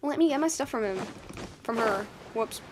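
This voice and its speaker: A woman's voice: on the high side, lively intonation, moderate pace, background noise. A woman giving casual, rambling gameplay commentary, slangy and informal, repeating herself for emphasis. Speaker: video game streamer.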